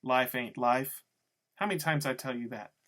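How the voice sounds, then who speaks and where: A man's voice: medium pitch, normal speed, fairly bright tone, lightly acted. Man doing a menacing, curt tough guy. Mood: dismissive. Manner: curt, scornful, slangy.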